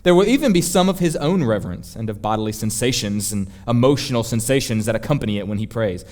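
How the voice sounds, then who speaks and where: A man voicing a senior demon who instructs his apprentice in formal, deliberate, scheming words, as a dramatic book reading. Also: mid-range voice, lively intonation, darkish tone, voice pushed down, natural voice. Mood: disdainful.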